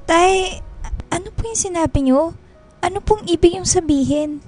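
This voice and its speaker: Dutiful, troubled young daughter for radio drama; very high pitch, music bed. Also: female voice, moderate pace, darkish tone. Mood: anxious, pleading. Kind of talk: Filipino radio drama acting.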